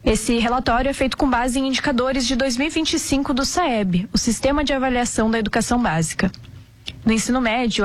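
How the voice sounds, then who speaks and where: A woman reads a radio news report in a measured, formal tone. Her colors the delivery, and her voice sits a little high.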